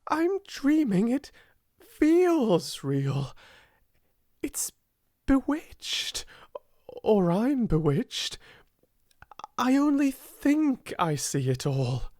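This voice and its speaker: A woman's voice: fairly high pitch, big pitch swings, extremely slow, long pauses, warm tone, dry recording, clean studio sound, voice pushed up, heavily acted. A woman voicing a young storybook girl, breathless and overjoyed with wonder, in panting, half-whispered exclamations. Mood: excited disbelief. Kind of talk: storybook character voice in audiobook reading.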